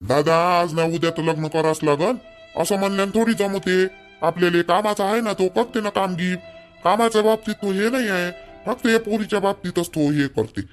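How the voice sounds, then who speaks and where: A man voices a persuasive village uncle in a cartoon. He is insistent and reasons things out in a high male voice with a Vidarbha Marathi accent. Soft music plays behind him. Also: moderate pace, close to an everyday voice.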